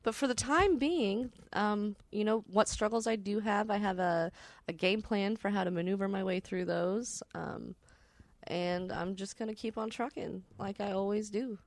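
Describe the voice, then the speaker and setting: A woman talking loosely as a podcast co-host, wry and self-deprecating. Her pitch is medium, and the sound is dry and close. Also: moderate pace, no echo. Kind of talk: casual, rambling storytelling chat.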